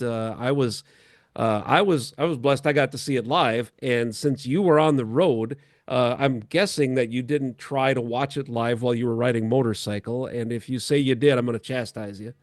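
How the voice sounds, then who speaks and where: A man with a mid-range voice hosts a casual, unscripted chat. He talks in a halting way with frequent pauses. The sound is close and dry, with hardly any room in it. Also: medium pitch, no room sound. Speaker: host of a church chat show.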